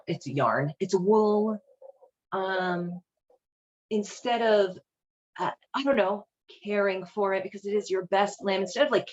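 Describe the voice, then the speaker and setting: A woman giving casual, irreverent commentary as a host, with a sardonic, halting, colloquial delivery. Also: mid-range voice, muffled recording. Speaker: host of a video series on leaving religion.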